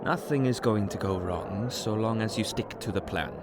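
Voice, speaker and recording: A man's voice: lowish voice, normal speed, background noise, close to an everyday voice. An actor playing an assured, persuasive Norse god in an audio drama, firm and reassuring in his delivery. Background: loud music.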